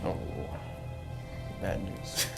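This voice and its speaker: A woman's voice: extremely slow, a bit bright, soft background music. A woman chatting casually as a player in a tabletop role-playing game.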